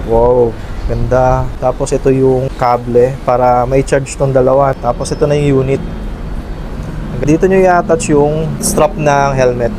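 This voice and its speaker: A man's voice: medium pitch, noisy background. A man with a Filipino accent giving a casual unboxing and demo talk to viewers as a vlogger. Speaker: vlogger, product reviewer.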